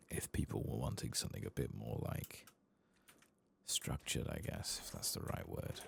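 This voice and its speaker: A soft-spoken man giving hushed, unhurried ASMR commentary as he plays a game, murmuring and musing to himself, at times nearly whispering. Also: a bass voice, gravelly, a little hiss or hum. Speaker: gaming video creator.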